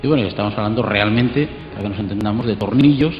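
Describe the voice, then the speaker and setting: A man's voice: on the low side, fast talker. A man being interviewed as an expert, explaining informally and hesitantly, with tag questions. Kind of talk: spontaneous explanatory interview talk. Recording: dull playback, echoey hall, faint music.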